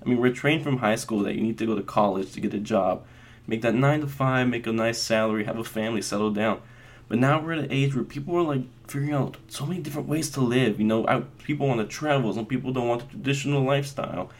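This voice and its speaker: An earnest young man in his twenties hosts a casual solo podcast. His voice sits at an ordinary mid pitch, and he rambles through his thoughts with plenty of fillers and restarts. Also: fast. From Las Vegas, US. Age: 20s. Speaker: podcast host.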